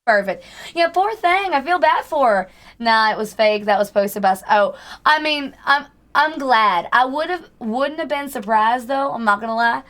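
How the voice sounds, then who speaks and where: A hoarse woman streamer chatting casually with her viewers in a put-on Southern US accent, greeting and thanking them by name. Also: on the high side, lively intonation, moderate pace, a bit bright. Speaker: livestreamer. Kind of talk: casual livestream chat with viewers.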